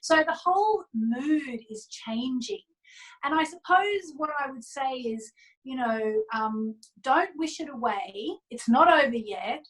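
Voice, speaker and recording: Woman on an interview, voice a bit high, chatty explaining, earnest and emphatic. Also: animated, slow pace, low-quality recording. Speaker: guest astrologer. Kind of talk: conversational interview, explaining astrology.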